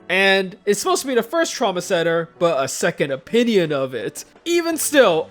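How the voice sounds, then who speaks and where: A casual, joking video host narrating a scripted countdown commentary. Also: medium pitch.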